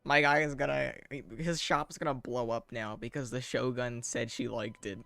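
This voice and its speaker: A man's voice: medium pitch, steady tone, normal speed, creaky, pushed and bright. A man giving casual gameplay commentary as a streamer, with dry, teasing asides. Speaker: game streamer. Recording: stream with game music behind.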